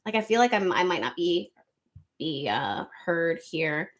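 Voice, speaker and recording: A woman hosting an astrology livestream, reading viewer comments aloud in a loose, rambling chat with a soft, slightly nasal voice, close to the microphone. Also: fairly low pitch, sing-song, a little slow. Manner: chatty, rambling, lots of ums and likes. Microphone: close studio microphone.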